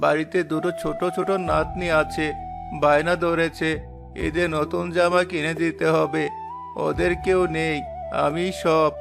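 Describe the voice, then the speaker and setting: A poor elderly grandfather in a cartoon, acted by a man, speaks with grateful humility. His voice is creaky and a little high for a man, and music plays clearly behind it. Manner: pleading, humble. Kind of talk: cartoon dialogue.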